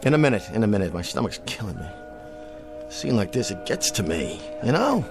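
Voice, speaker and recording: A vain, fussy cowboy star barks orders and complains in a low voice that swings widely in pitch. The radio drama sets him over steady music. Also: male voice, normal speed. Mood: whiny. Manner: pompous, demanding, whiny.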